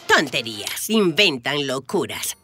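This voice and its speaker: Low, sharp, sceptical authority. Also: female voice, sing-song, unhurried, soft background music, exaggerated voice. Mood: dismissive. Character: dismissive, sceptical authority figure. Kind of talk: animated children's cartoon dub.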